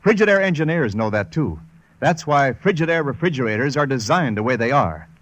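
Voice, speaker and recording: A man's voice: medium pitch, animated, a little fast, no room sound. A man announcing for a vintage radio show and reading sponsor copy in a polished, emphatic sales style.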